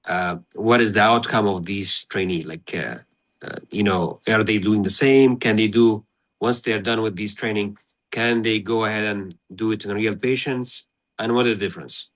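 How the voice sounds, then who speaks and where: A man with a fairly low voice moderates an online panel. He puts his questions spontaneously, feeling his way through them in halting phrases. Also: a bit bright. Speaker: webinar moderator.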